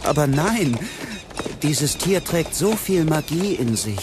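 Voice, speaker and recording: Earnest, higher-voiced mage. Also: male voice, big pitch swings, slow. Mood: admiring. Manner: earnest, apologetic. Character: gentle, insecure male mage, full of wonder. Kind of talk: fantasy audio drama acting. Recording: clear background noise.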